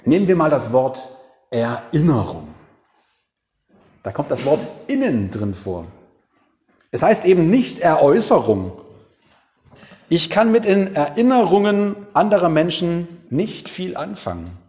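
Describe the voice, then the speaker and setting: A man preaching a reflective sermon, with dramatic pauses, rhetorical questions and emphatic single words. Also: fairly high pitch, big pitch swings, a little mellow, in a large hall. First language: German.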